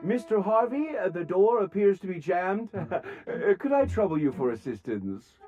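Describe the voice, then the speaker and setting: A man's voice: high voice, very animated, moderate pace, darkish tone, muffled recording, soft background music. A man playing a jovial, over-polite game character with florid, theatrical wording and a nervous streak. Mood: nervously polite. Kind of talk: video game character acting.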